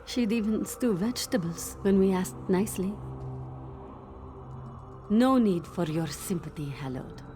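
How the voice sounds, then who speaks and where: Woman voicing a resolute young feline scholar, video game, dark mellow tone, unhurried, grieving. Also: medium pitch, expressive, faint music behind, lightly acted. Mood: solemn, resolute. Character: earnest, grieving young female cat-folk scholar, resolute. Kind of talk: video game character acting.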